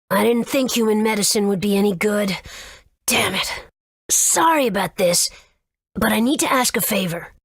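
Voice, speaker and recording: A woman's voice: fairly high pitch, no room sound, clean studio sound. A woman voicing a scrappy, caring young boy in a video game, speaking in a casual, earnest way.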